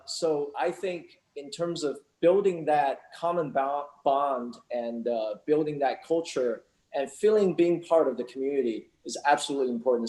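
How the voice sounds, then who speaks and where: Measured man from Taiwan, echoey room. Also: mid-range voice, darkish tone. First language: not English. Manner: measured, many fillers and restarts. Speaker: sports team owner, guest. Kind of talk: interview answers.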